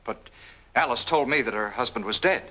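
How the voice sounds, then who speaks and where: A man's voice: medium pitch, animated, brisk, sharp tone. An actor playing a suspicious, insistent young man in a 1940s film noir, speaking bluntly and with disbelief. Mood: angry, demanding. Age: young adult. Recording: old 1940s film soundtrack.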